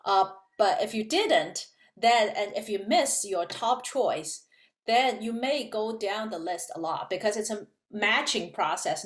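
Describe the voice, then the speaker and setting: A woman's voice: medium pitch, roomy sound. A woman professor from China, explaining things at an easy pace in a casual interview, with many fillers. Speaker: physics professor, guest.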